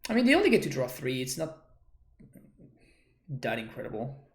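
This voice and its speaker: A man's voice: mid-range voice, huge pitch swings, moderate pace. A man from Argentina, streaming a card game and thinking aloud as he works through his plays. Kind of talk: live card game commentary. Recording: roomy echo.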